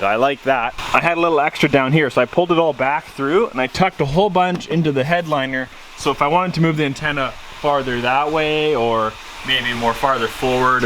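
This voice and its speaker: A man's voice: medium pitch, background noise. A man talking informally in a casual DIY vlog, thinking aloud as he works inside a vehicle. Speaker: vlogger. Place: vehicle cabin.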